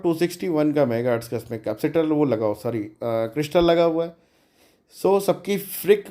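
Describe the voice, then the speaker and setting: A man's voice: on the high side, warm tone. A man giving an informal electronics demonstration as a DIY host, chatty and colloquial, mixing English terms into his speech.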